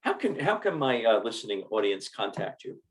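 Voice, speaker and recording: Enthusiastic lower-voiced man host. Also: sing-song, a little fast. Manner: enthusiastic, repeats words. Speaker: podcast host, doctor. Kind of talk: casual interview talk.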